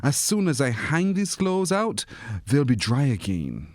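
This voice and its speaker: A man voicing a practical, gently reassuring village mother in a children's storybook, plain and soothing. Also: mid-range voice, wildly swooping pitch, unhurried, no room sound, studio quality, voice pushed up. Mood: matter-of-fact.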